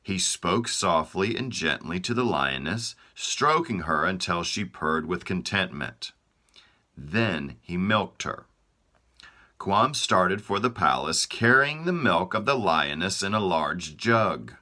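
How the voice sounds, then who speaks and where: A man reading a children's storybook aloud, slow and expressive. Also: sits low. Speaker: storybook reader.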